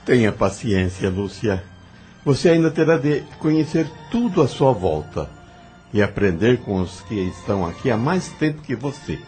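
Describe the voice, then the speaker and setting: A man's voice: mid-range voice, sing-song, moderate pace, a little mellow, faint music behind, lightly acted. An actor playing a patient, reassuring male spirit guide in an audio drama. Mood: gentle reassurance.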